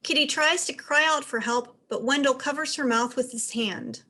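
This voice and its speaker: A woman's voice: high-pitched, moderate pace, pushed and bright. A woman giving scripted narration, reading out the stage directions of a play.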